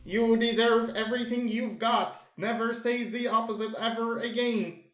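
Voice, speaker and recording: A man's voice: extremely high pitch, fairly even intonation, moderate pace, a bit bright, pitched above the performer's own voice. A man voicing a firm, encouraging sidekick from a manga comic. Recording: echoey room.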